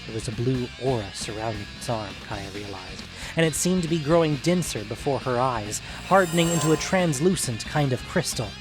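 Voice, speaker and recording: A man narrating a scripted fantasy story in a measured, suspenseful, dramatic audiobook manner. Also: medium pitch, expressive, music in the background, rough recording.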